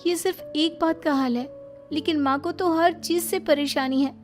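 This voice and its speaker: Casting: woman as soft-hearted, obedient young bride for cartoon family drama, high voice. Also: fairly even intonation, normal speed, natural voice. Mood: hurt. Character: gentle, apologetic young daughter-in-law. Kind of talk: cartoon moral story acting. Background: faint music.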